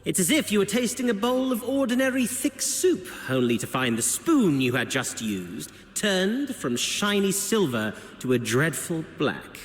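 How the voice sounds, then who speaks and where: A slick, theatrical game officer reporting to his superior, his voice fairly high and swooping in pitch. He spins out his words in flowery, insinuating fashion, in an echoing hall. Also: big pitch swings. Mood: smug, intrigued. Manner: flowery, insinuating, deliberate storytelling. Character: suave, theatrical male subordinate officer reporting to his chief. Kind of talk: video game voice acting. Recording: echoey hall reverb with music.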